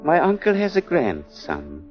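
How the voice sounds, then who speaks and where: Casting: urbane male lover, animated mid voice, old radio play, muffled, with music underneath. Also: on the high side, unhurried. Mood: urbane, casual. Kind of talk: 1940s radio drama acting.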